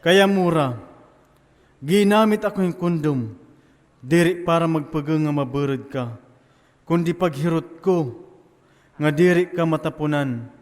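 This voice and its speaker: Actor as guilt-ridden husband, echoing hall. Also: male voice, fairly high pitch, a little slow, long pauses, darkish tone, close to an everyday voice. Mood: remorseful, explaining. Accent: Eastern Visayan Filipino. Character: guilt-ridden philandering husband confessing his illness. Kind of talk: amateur one-act stage play acting. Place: theatre stage in a hall. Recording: echoey hall, faint music behind.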